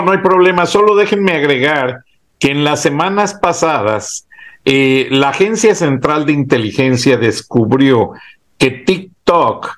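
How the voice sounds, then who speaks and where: A man hosting a casual political chat, laying out news in an informative, explanatory way. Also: mid-range voice. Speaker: host.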